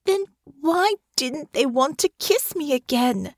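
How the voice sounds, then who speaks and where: A woman voicing an anxious, wounded young noblewoman, high and plaintive. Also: animated, takes it slowly, no echo, studio quality, exaggerated voice. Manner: plaintive, rising, tearful pleading.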